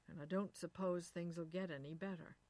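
A woman voicing a bitter, anxious husband in his thirties who lectures and shouts. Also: lowish voice, fairly even intonation, moderate pace, lightly acted. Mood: lecturing. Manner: resentful, lecturing, rising to shouting.

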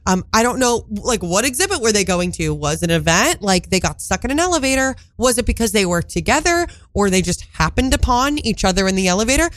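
A woman's voice: mid-range voice, big pitch swings, fast talker, hardly any pauses, dry recording. Congested woman co-hosting casual chat. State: congested, voice still recovering. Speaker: radio show co-host. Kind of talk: casual pop culture chit-chat.

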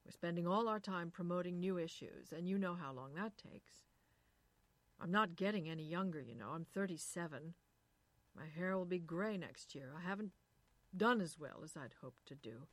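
A woman voicing a bitter, anxious husband in his thirties who lectures and shouts. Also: on the low side, lively intonation, moderate pace, dry recording, lightly acted. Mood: lecturing. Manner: resentful, lecturing, rising to shouting.

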